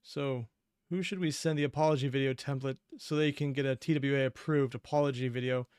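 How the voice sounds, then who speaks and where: A man's voice: mid-range voice, steady tone, brisk, darkish tone, clear, resonant tone, no echo. Dry-sounding man reacting casually. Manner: musing, questioning, wry. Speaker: reaction video host. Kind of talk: casual running reaction commentary.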